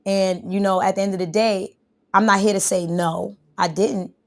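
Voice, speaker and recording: Gravelly, flat-voiced New woman rapper. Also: mid-range voice, moderate pace. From New York. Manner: rambling, relaxed, many fillers. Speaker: rapper being interviewed. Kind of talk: interview answers.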